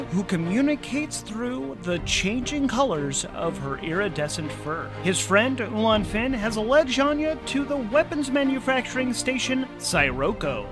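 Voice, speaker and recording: A man hosting and narrating a solo tabletop role-playing game in an informal way, as game master and player. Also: high voice. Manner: informal, thinking aloud.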